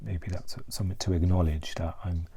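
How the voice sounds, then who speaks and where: A man speaking softly and haltingly in a confessional vlog, searching for words and at times trailing toward a whisper. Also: sits low, flat delivery, creaky, noisy background. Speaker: mental health vlogger.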